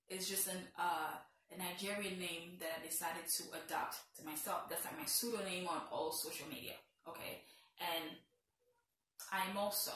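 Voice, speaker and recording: Slow-talking, playful young Haitian woman with a bright tone; casual vlog, mic at some distance. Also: on the low side, a little hiss or hum. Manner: playful, teasing, self-mocking asides. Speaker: vlogger. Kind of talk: casual get-to-know-me vlog. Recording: very echoey room, a bit far from the mic.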